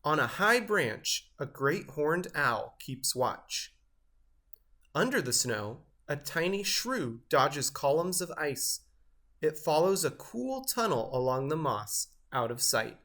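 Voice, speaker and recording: A man reading a children's picture book aloud, with expressive delivery and measured, careful phrasing. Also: on the high side. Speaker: storybook reader.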